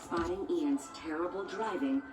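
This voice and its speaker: A woman's voice: on the low side, normal speed, background noise. A woman reading a scripted children's storybook as narrator. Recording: echoey, distant, music behind.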